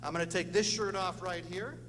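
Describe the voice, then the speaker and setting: A man's voice: sits high, fairly even intonation, fairly quick, a bit bright. A middle-aged man giving an earnest, emphatic ceremony speech in short punchy phrases as a presenter. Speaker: presenter at an athletics hall of fame ceremony. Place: indoor ceremony hall with long reverb. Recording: echoey hall, noisy hum.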